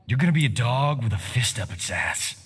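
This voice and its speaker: A man's voice: medium pitch, animated, brisk, natural voice. A man playing a cocky wisecracking action star. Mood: threatening. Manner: flippant, mocking delivery. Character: cocky, wisecracking male action-movie star and protective dad. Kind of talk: video game fight banter. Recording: hall-like reverb, game ambience noise.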